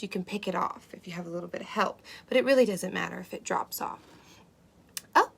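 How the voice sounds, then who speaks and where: A woman playing an excitable, gentle unicorn who presents a children's cooking show, talking to young kids with wonder and breathy asides. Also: mid-range voice. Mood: delighted, eager. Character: excitable, gentle, impatient female unicorn hosting kids' show. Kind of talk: children's cooking demonstration to camera. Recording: clear steady background noise.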